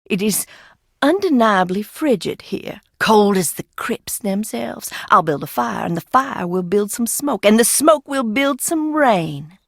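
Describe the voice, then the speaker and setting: A woman playing an eccentric, unbalanced recluse in a video game, speaking theatrically and cryptically with dramatic pauses and a darkly playful edge. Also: mid-range voice, expressive, gravelly, dry recording, studio quality. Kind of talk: video game character dialogue.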